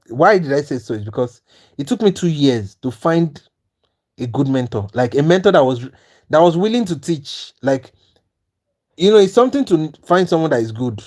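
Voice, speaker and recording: A man's voice: fairly high pitch, a little mellow. A man from Nigeria with a Nigerian accent, hosting a trading livestream and talking earnestly and persuasively to viewers in the chat. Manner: earnest, persuasive, repeats "you understand?". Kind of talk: live-stream talk to chat viewers.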